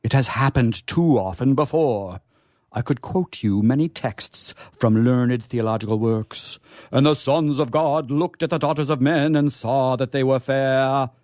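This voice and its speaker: A man's voice: medium pitch, unhurried, a little mellow, dry recording, studio quality, natural voice. An actor playing a lofty, ardent male angel turned mortal, speaking in a stately, florid way for a stage comedy. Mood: serene, unrepentant. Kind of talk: theatrical stage comedy acting.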